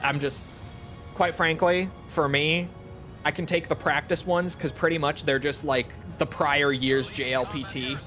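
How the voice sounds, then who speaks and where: A man's voice: fairly high pitch, fairly even intonation, a little slow, bright, forceful tone, faint music behind. A man streaming a game and chatting casually with viewers in a rambling, self-deprecating, casually profane way. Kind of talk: casual livestream chat, replying to viewers.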